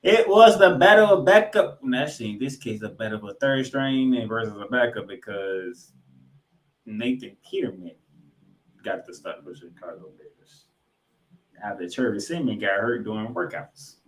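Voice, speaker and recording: A man's voice: mid-range voice, animated, moderate pace, poor audio. A man co-hosting a sports podcast, giving football recaps with emphatic, announcer-like delivery of scores and stats mixed with casual banter.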